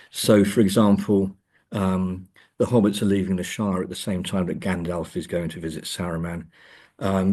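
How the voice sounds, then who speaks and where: A man's voice: low-pitched, a little mellow, slightly rough. A man speaking as an academic guest in an interview, discussing in a conversational, searching way and stressing key words. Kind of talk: conversational literary and film discussion.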